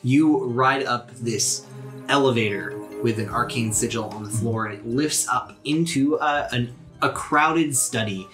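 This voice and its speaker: A man's voice: medium pitch, a little slow, a bit bright, faint music behind. A man narrating a tabletop game podcast as its game master.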